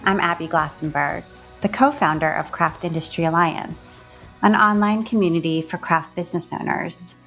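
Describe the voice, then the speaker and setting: Fairly low-voiced woman hosting a podcast. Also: fairly even intonation, normal speed, creaky, a bit bright, soft background music, rough recording. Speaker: podcast host. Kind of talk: scripted intro then interview questions.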